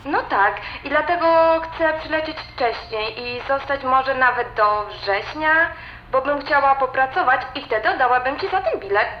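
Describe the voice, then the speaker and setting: A woman's voice: sits high, animated, normal speed, faint music behind. A woman playing a pushy, defiant young adult daughter in an audio drama, through a telephone filter. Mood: insistent. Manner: insistent, cheeky. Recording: muffled phone line, echoey, faint noise.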